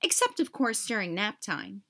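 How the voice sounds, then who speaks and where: A woman's voice: mid-range voice, wildly swooping pitch, normal speed, bright, forceful tone, full character voice. A woman voicing a cheerful little female bird schoolteacher in a storybook, chirpy, emphatic and encouraging. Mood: firm, reassuring. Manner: chirpy, sing-song, emphatic. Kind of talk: children's storybook character voice.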